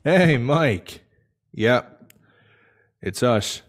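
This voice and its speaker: A man's voice: mid-range voice, animated, very slow. A man playing a friendly, hesitant visitor in casual audio drama dialogue. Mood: sheepishly friendly. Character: friendly, hesitant male visitor, old workmate.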